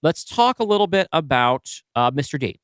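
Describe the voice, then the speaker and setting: A man co-hosting a podcast, brainstorming casually and thinking aloud in halting, self-correcting bursts. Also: on the high side, big pitch swings, fairly bright tone, clear, resonant tone, no room sound. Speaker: podcast co-host and writer.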